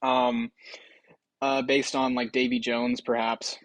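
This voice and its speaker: A man's voice: medium pitch, little pitch movement, slow, no echo, poor audio. A man hosting casual gaming commentary, rambling and thinking aloud with some hesitation. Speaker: video host.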